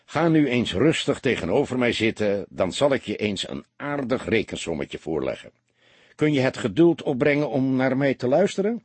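A man voicing an older, experienced police detective in an audiobook, a patient mentor who explains deliberately with mild reproach. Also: medium pitch, sing-song, husky, no room sound. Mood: mildly reproachful. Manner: didactic, deliberate, mildly reproachful. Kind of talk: audiobook character dialogue.

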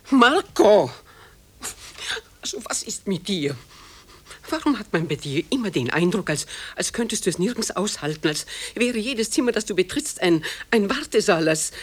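A woman's voice: mid-range voice, husky. An actress playing an elderly, possessive mother in a German radio play, speaking in a tender, plaintive, probing manner. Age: older. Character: elderly, still beautiful, possessive, anxiously doting mother.

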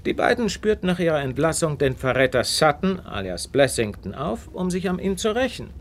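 An actor playing a brilliant, superior detective in a German radio play, articulate and lecturing in a theatrical way. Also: male voice, fairly high pitch, sing-song, fairly quick, a little hiss or hum. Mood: explaining, grave. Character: brilliant, self-assured male detective, precise and superior.

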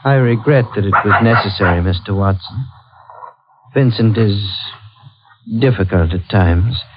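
An actor playing a coolly polite, sinister blind man in a 1940s radio thriller, speaking formally and with measured politeness. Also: fairly low pitch, close to an everyday voice. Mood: smoothly polite. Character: courteous, sinister blind brother ruling an isolated house. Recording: dull old 1940s radio recording.

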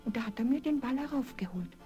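A woman's voice: on the high side, animated, fast talker, warm tone, pitched above the performer's own voice, close to an everyday voice. A woman voicing a plaintive, childlike young princess in a 1970s children's audio play, easily upset. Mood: anxious pleading. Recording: vinyl record transfer with music.

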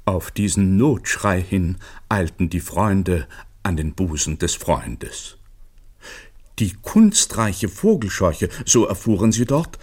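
A man narrating a literary radio play in a measured, wry storytelling manner, with a husky voice. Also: low voice, sing-song, a little mellow, heavily acted.

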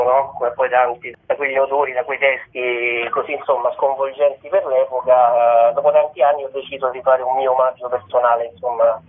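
A male writer and director being interviewed casually, talking conversationally with lots of little tag words. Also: mid-range voice. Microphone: telephone. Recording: tinny phone line.

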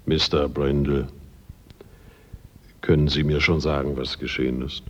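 An actor playing a composed, methodical police inspector, measured and courteous as he questions witnesses in a radio crime drama. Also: rumbling low, flat delivery, heavily acted. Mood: probing. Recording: muffled radio drama recording, faint noise.

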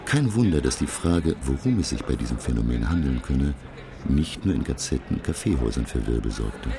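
Learned professor telling his sea adventure, super deep n gravelly, swings a lot, music behind, radio drama. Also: sing-song, a little fast, full character voice. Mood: measured, suspenseful. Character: learned, self-assured French professor recounting his expedition. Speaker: narrator and lead character. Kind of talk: dramatic scripted narration.

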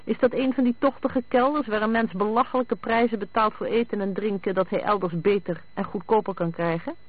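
The recording is thin, dry and phone-like. A male narrator puts on an insistent wife from a detective story, pitched high. She is pointed and sceptical, pressing her husband for answers. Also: fairly even intonation, brisk, no echo. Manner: pointed, questioning. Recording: thin, phone-like band-limited sound.

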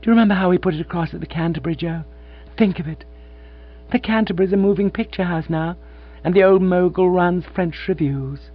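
A man voicing an aging former stage actress, a reserved grande dame who turns gushing and nostalgic, with a husky voice on a dull, humming recording. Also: on the low side, a little fast, voice pushed up. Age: older. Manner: quiet, emotional, gushing with nostalgia. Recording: dull lo-fi recording with mains hum.

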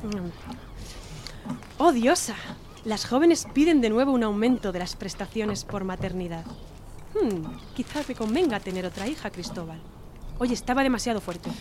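A woman's voice: medium pitch, lively intonation, moderate pace, background noise, close to an everyday voice. A woman playing a domineering middle-aged mother in a radio drama, lecturing and dismissive. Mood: impatient, dismissive. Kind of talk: Spanish-language radio drama acting.

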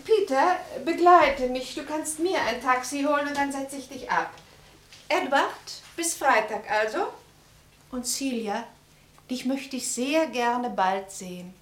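A woman's voice: sits high, a little slow, fairly bright tone. An actress in a German radio play voicing a meddling, chatty and self-assured old society lady, inquisitive and bossy in a theatrical way. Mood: breezy, effusive. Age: older.

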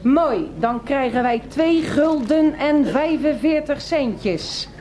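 Radio play: officious female guard, higher-pitched, pompous, formal, full of her own authority. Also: animated, takes it slowly, a little mellow, a little hiss or hum. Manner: pompous, formal, speaks as royal we. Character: officious, self-important female security guard. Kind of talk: radio drama acting.

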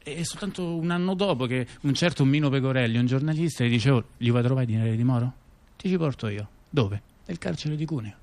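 A man acting as a chatty intellectual, telling scandals in conversational stage monologue, halting and repeating himself with frequent tag questions. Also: mid-range voice, darkish tone, lightly acted. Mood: sardonic. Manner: halting, repetitive, full of rhetorical tag questions. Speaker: stage actor-narrator. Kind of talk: conversational monologue theatre, addressing a listener.

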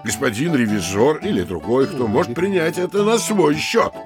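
An actor playing a pompous, anxious middle-aged town mayor in a comedy audio drama, officious and emphatic, with a gravelly, husky voice. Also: male voice, on the high side, very animated, music in the background. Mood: officious, lecturing. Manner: officious, lecturing, theatrically emphatic with pauses.

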